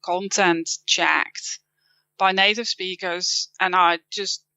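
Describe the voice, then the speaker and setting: Woman with a Dutch accent, bright tone. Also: on the low side, steady tone, slow, no echo, lo-fi sound. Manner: thoughtful, with frequent restarts and light laughs. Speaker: guest, copywriting teacher. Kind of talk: casual interview chat.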